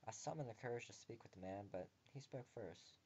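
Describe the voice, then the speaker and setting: A man reading a visual novel aloud and breaking off for crude, joking asides, sometimes muttered quietly. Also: fairly low pitch, fairly quick, slight background noise.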